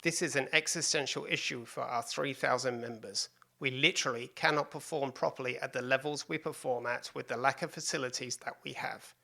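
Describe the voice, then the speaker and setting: A man's voice: medium pitch, steady tone, brisk, gravelly, hardly any pauses, bright, forceful tone. A man reads a prepared statement aloud. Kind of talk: reading a prepared quote aloud.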